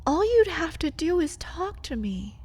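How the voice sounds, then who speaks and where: A woman playing a caged vampire lover in an ASMR roleplay, intimate and breathy, pleading and bitter, often dropping toward a whisper. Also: extremely high pitch, very animated, unhurried, a little mellow, full character voice. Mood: wistful, coaxing.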